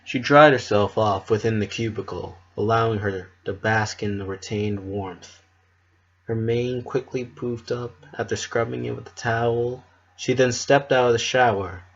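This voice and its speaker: A narrator giving an expressive, measured dramatic reading of a scripted story. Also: mid-range voice, lo-fi sound.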